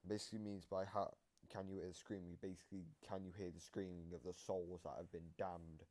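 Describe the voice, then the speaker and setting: A man giving casual reaction commentary, explaining game lore in a halting, repetitive way. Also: low voice, little pitch movement, darkish tone. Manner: explanatory, halting, repeats himself.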